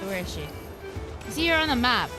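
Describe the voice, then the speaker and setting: A woman's voice: a little high, sing-song, fairly quick. A woman giving playful, reactive, casual commentary on a gaming livestream, with game music behind her. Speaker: streamer.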